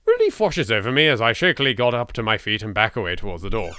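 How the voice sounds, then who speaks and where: A man's voice: fairly low pitch, wildly swooping pitch, fast talker, sharp tone, smooth. A man with an English accent doing let's play commentary, reading game text dramatically and adding sweary, jittery, sardonic asides. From England. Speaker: video game let's player.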